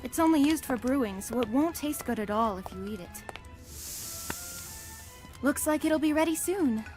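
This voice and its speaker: A woman's voice: high voice, lively intonation, normal speed, over music. A woman playing a courteous palace attendant in a comic dub, giving patient, orderly instruction. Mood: calm, instructive.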